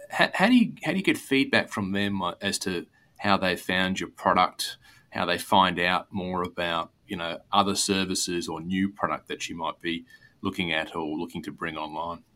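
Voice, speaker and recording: A man's voice: sits low, sharp tone. A man hosting an interview, putting conversational questions to a guest. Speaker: interviewer, host.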